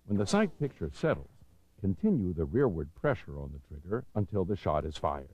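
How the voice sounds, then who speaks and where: A deep-voiced American man narrates a training film in a measured, authoritative way. His pitch moves in lively steps as he enunciates each instruction. The sound is slightly dull, like a 1990s videotape soundtrack. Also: breathy edge.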